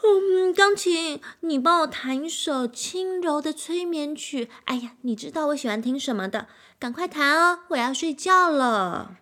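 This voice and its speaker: Brief: haughty violin for a kids' storybook, voiced by a woman, high and lively. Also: unhurried, hardly any pauses. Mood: bossy. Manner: haughty, whiny and demanding. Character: proud bossy jealous violin, male.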